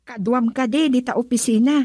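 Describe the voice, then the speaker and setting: A woman's voice: fairly high pitch, brisk, slightly rough. An actress voicing a curious young woman pressing her cousin with questions in a radio drama. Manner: inquisitive, lively questioning.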